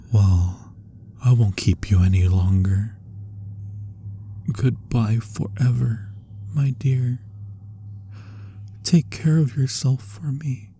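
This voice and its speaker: A man voicing a tormented, tender vampire in an ASMR roleplay, speaking in a hushed, breathy voice with formal, pleading melancholy. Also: lowish voice, a little hiss or hum. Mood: tender, farewell. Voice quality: breathy, hushed, often falling into whispers. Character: tormented undead vampire, former lover, sorrowful and tender. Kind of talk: ASMR roleplay, dramatic monologue.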